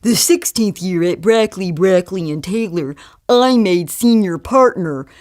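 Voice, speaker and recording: A woman's voice: on the low side, lively intonation, a little slow, darkish tone. A woman voicing an arrogant, boastful man on a date in a comic monologue. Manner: pompous, boastful. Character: arrogant self-absorbed male dates boasting of themselves.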